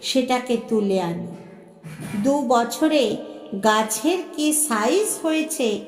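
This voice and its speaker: A woman storyteller voicing a grave, knowing male plant collector who explains things slowly and deliberately in story dialogue. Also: fairly high pitch, lively intonation, soft background music. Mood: matter-of-fact, ominous. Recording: roomy echo with long reverb.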